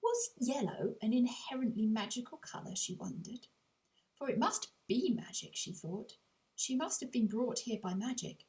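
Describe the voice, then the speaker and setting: A woman reading a whimsical children's story aloud in an expressive storytelling manner, with dramatic pauses. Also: a little high, rough recording. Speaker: author reading their own audiobook.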